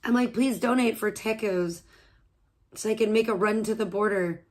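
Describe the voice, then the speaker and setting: A woman in her thirties streaming live from home, chatting loosely with viewers, slightly hoarse and swearing freely between laughs. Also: mid-range voice, moderate pace, a little mellow, a little hiss or hum. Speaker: livestreamer.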